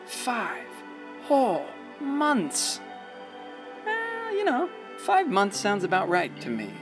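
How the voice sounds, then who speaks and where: A man's voice: very high voice, wildly swooping pitch, slow pace, heavily acted. A male actor playing a wry, rambling small-town narrator in a radio play, folksy, theatrical and hesitant. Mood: wry, fond. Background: prominent music.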